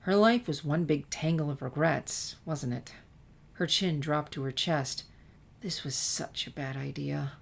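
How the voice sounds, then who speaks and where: A woman's voice: deep voice, measured pace, creaky, a little hiss or hum. A woman narrating fan fiction as a scripted audiobook-style story reading. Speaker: story reader.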